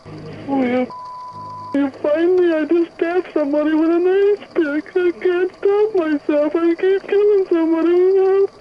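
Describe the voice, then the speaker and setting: A man's voice: very high voice, steady tone, unhurried, music in the background. A distraught man with a weepy, whining voice, crying through a confession on an archival phone call. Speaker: anonymous caller confessing to crimes. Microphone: telephone line. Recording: muffled, noisy archival police phone recording.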